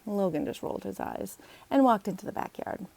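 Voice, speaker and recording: A woman's voice: mid-range voice, animated, fairly quick, vocal fry, a little mellow. A woman, the author, reading her children's book aloud as a storybook narrator.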